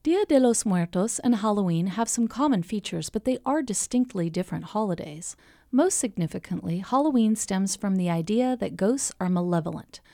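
A woman's voice: medium pitch, animated, normal speed, dark tone, dry recording, clean studio sound. A woman podcast host reading scripted explanatory narration.

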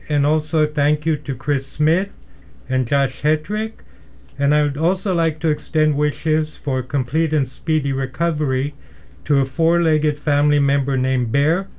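A man hosting a radio show from a studio, introducing and questioning guests in a formal, earnest, measured way. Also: a little high, muffled recording. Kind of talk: measured scripted introduction and interviewing. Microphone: studio microphone.

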